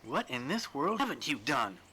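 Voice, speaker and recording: A man's voice: mid-range voice, sing-song, moderate pace, sharp tone, slight background noise. A man reading a storybook role as an earnest, tactful woman who speaks politely and carefully. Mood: hesitant, amazed. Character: earnest, tactful woman, gently amazed and hesitant. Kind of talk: storybook character dialogue.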